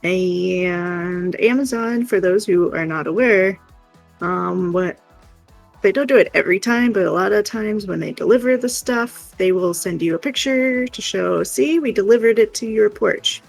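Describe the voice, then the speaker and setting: A livestream co-host chatting casually and telling everyday stories in an animated, playful way. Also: mid-range voice, unhurried, vocal fry. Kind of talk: casual livestream chat and storytelling. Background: music.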